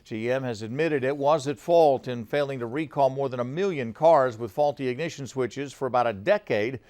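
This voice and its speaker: A man's voice: medium pitch, normal speed, darkish tone. A man anchoring a television newscast, reading scripted stories in a formal news delivery.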